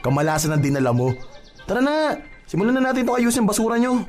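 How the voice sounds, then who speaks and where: A surly, aloof Filipino garbage collector acted by a man in a radio drama. He snaps out impatient scolding at a fast clip, his pitch jumping about, over faint music. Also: big pitch swings, a little mellow. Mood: annoyed, dismissive. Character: grumpy, aloof male garbage collector, easily irritated.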